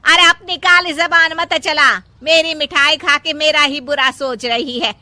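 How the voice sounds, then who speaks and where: A woman's voice: high voice, fairly even intonation, measured pace, sharp tone, no echo, pitched above the performer's own voice, full character voice. A woman voicing a melodramatic, scolding older mother-in-law in a cartoon moral story. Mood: indignant. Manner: scolding, dramatic wailing and complaining. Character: nagging, melodramatic older mother-in-law obsessed with having a grandson.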